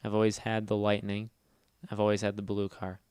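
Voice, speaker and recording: A man hosting a casual gaming livestream, talking fast and loud with a nasal voice and rambling as he answers chat. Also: fairly low pitch, completely flat delivery, clear, resonant tone, dry recording, studio quality. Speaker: livestream host.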